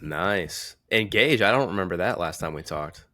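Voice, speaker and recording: A man with a very deep yet bright voice talks like a podcast host in casual conversation. His wording is informal and slangy, and the recording is dry and close. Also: animated, normal speed, no room sound. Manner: informal, slangy, occasional swearing. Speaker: podcast host and men's confidence coach.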